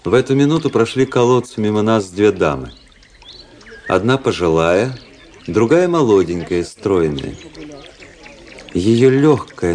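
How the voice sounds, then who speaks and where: Low-voiced, ironic young officer. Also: male voice, darkish tone. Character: cool, ironic young Russian officer narrating his memoirs. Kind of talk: radio drama narration and dialogue. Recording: radio play with prominent music behind. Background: music.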